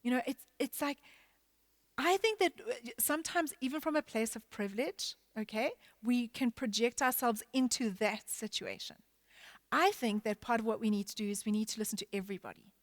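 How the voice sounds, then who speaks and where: Halting, high-voiced woman church leader. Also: no room sound. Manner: halting, many restarts and fillers. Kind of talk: panel discussion.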